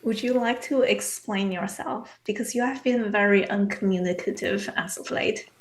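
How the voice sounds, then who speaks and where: A woman playing a stern female vampire in a tabletop game, questioning another character in a measured, pointed way. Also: medium pitch, close to an everyday voice. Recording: echoey room.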